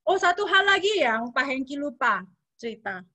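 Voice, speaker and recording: A woman's voice: high-pitched, big pitch swings, normal speed, pushed and bright, no room sound. A woman in her thirties with a Javanese accent, chatting casually as a webinar guest speaker and mixing in English words. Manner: chatty, mixes in English words, many fillers.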